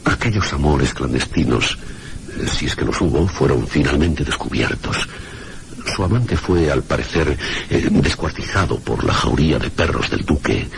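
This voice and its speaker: An actor playing a learned, cautious village schoolmaster and chronicler in a radio mystery, gravelly, formal and hesitant. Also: male voice, very deep voice, heavy background noise, full character voice. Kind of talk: Spanish radio mystery drama acting.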